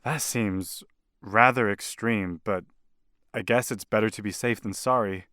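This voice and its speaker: A man's voice: on the low side, measured pace, sharp tone, no echo, clean studio sound. A man playing an earnest, conscientious alien property buyer in an audio play, with a hesitant, deliberating delivery. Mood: pondering.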